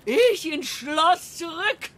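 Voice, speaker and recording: A woman's voice: extremely high pitch, lively intonation, takes it slowly, a bit bright, exaggerated voice. An actress playing a haughty, stubborn queen turning into a greedy wild sow in a radio play. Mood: defiant. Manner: imperious, stubborn, exclamatory.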